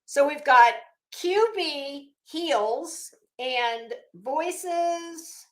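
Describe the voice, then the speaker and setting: A woman's voice: high voice, expressive, drawn-out words, roomy sound. A woman giving casual, rambling commentary on a document as a channel host, with a blunt, sarcastic, sweary manner. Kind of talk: casual spoken commentary while reading a legal document.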